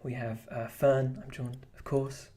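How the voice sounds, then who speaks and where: A man's voice: mid-range voice, little pitch movement, unhurried, mellow. A man chatting casually while drawing on a live stream, rambling with lots of fillers and restarts. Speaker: streamer and artist.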